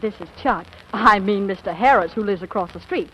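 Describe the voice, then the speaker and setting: A woman acting an excitable 15-year-old girl putting on grown-up airs, affected and giggly, with an American accent, in a 1940s radio comedy. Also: mid-range voice, a little fast, lightly acted. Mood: flustered, giddy. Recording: muffled, noisy old radio recording.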